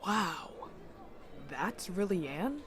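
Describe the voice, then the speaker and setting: A man's voice: high voice, very animated, very slow, music in the background, full character voice. A man playing a loyal, teasing young nobleman in an audio drama, eager and playful in manner. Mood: teasing, curious.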